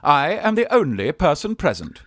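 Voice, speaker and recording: Farce radio drama: young man posing as a genteel aunt, high, very animated, archly coy, dry recording. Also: normal speed, no room sound. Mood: haughty. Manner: flustered, haughty, archly coy. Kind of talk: Victorian farce radio acting.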